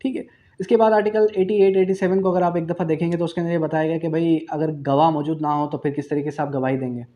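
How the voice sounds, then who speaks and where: A man's voice: fairly high pitch, little pitch movement, fast talker, warm tone. A man with a Pakistani accent giving a revision lecture as a law teacher, repeating key phrases, posing questions and answering them himself, and dropping in English terms.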